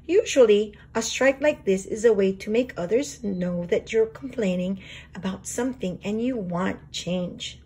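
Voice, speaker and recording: A woman reading a children's storybook aloud as a teacher would, expressive and explanatory. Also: medium pitch, unhurried, breathy edge.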